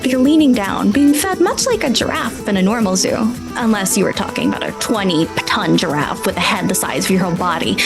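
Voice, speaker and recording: A woman narrating an improvised tabletop game as game master, setting scenes in vivid, descriptive detail. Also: medium pitch.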